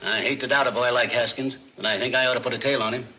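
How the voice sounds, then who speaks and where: A man's voice: medium pitch, steady tone, fast, sharp tone, poor audio. A man acting an authoritative, fair-minded police chief in a 1940s movie serial, speaking directly. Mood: reasonable, doubtful. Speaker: actor in a crime film serial. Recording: old film soundtrack, faint music.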